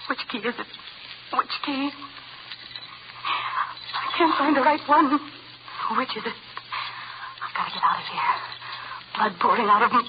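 An actress in an old radio suspense drama playing a spoiled, defiant young heiress who is trapped and panicking, speaking in melodramatic, clipped fragments. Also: female voice, fairly high pitch, measured pace, pauses often, lightly acted. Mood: horrified, panicking. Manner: melodramatic, breathless clipped fragments in interior monologue. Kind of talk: 1940s radio suspense acting. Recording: muffled, crackling old-time radio recording. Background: loud crackle and hiss.

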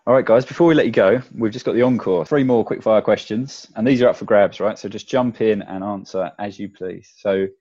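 A man hosting a podcast interview, asking quick questions politely and wrapping up. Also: fairly low pitch, warm tone, lo-fi sound. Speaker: podcast host.

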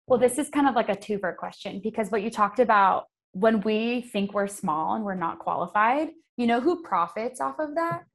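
A woman's voice: medium pitch, expressive, normal speed, hardly any pauses. A woman who is a podcast guest and environmental advocate, explaining her views in an earnest, emphatic way. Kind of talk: casual podcast conversation.

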